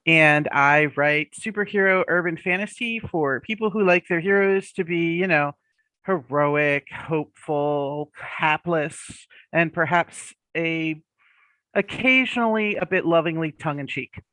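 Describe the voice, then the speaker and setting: Wry woman with a bright, dry voice. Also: low voice, measured pace, dry recording. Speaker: panelist, author. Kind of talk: panel discussion. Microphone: video call.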